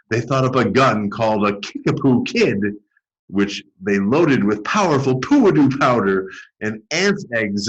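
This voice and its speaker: Expressive man reading a storybook, lively pitch swings. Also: lo-fi sound. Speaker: storybook reader. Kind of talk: expressive children's storybook read-aloud.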